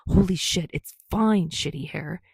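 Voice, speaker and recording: A woman voicing a gruff, grumpy, foul-mouthed young man who scolds and growls in an audiobook story. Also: sits low, a little slow, a little mellow, no room sound, heavily acted. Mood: irritated growl. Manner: scolding, growling, muttering curses.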